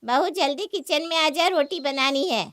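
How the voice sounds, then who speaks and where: A woman's voice: high-pitched, moderate pace, a bit bright, no room sound, clean studio sound. A woman playing a scolding, exasperated mother-in-law in a cartoon family story, nagging and sarcastic. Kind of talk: Hindi cartoon story acting.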